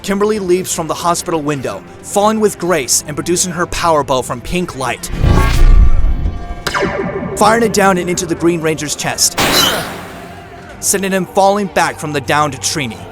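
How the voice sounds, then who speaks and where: A man narrates a scripted action drama in a moderately high voice. Dramatic music plays clearly behind the narration. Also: animated, normal speed, pauses often.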